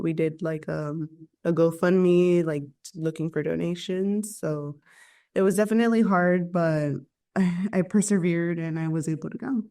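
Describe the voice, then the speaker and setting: A young woman who is a community college student, telling her story in a thoughtful, steady way. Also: on the low side. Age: young adult. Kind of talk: casual personal story.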